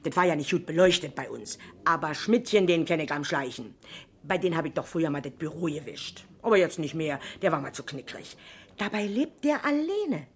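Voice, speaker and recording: An actress playing an excitable, nosy working-class woman with a Berlin accent in a 1970s radio crime drama, garrulous and colloquial. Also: low-pitched, normal speed. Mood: chatty, self-important. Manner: garrulous, colloquial, breathlessly stammering in agitation.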